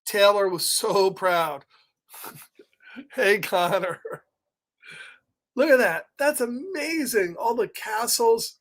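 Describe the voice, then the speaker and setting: A male storyteller with a high voice and a slow pace. He reads a picture book live to children, exclaiming over it and chatting casually with listeners between lines. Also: animated, a bit bright. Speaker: children's story reader and host.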